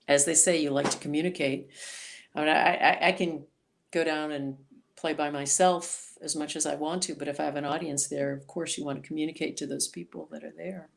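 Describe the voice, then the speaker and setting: A woman singer-songwriter being interviewed on a podcast, talking in an animated, chatty way. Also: deep voice, creaky. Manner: animated, chatty storyteller, voices quoted reactions.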